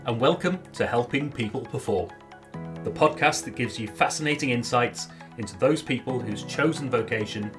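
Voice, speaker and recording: Man, podcast host, mid-pitched voice, faint background music, welcoming intro. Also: normal speed.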